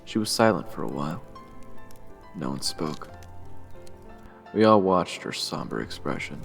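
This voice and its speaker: A man's voice: a bass voice, animated, a little slow, gravelly, full character voice. A man playing a brooding first-person narrator of a fantasy story, in dramatic scripted narration. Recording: long reverb over soft music.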